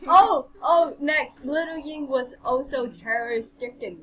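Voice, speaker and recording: A woman's voice: high voice, slow, fairly bright tone, poor audio. A woman co-hosting an amateur read-along stream with casual, joking commentary, playfully repeating words and phrases.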